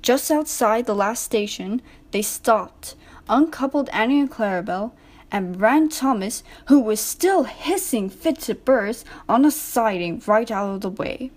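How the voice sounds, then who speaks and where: A woman's voice: on the high side, lively intonation, unhurried. A woman reading a scripted children's story as narrator.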